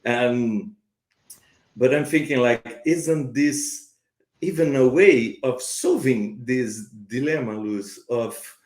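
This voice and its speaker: A man's voice: mid-range voice, takes it slowly, darkish tone. A man hosting an interview, hesitantly with many fillers and restarts. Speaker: podcast host. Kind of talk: conversational interview. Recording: roomy reverb.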